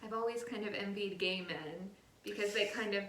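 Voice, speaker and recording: A woman's voice: mid-range voice, moderate pace, a bit bright. A young woman in casual, chatty conversation about books, speaking informally with lots of filler words. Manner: informal, chatty, heavy use of "like". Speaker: book reviewer co-hosting a book tag chat. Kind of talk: casual chat. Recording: roomy echo, some background noise.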